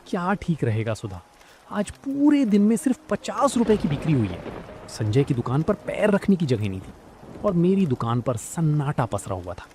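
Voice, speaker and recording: A man plays a downcast village grocer and husband in an animated story. His fairly high voice rises and falls freely in weary complaint. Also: moderate pace, a little hiss or hum. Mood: dejected. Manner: plaintive, weary.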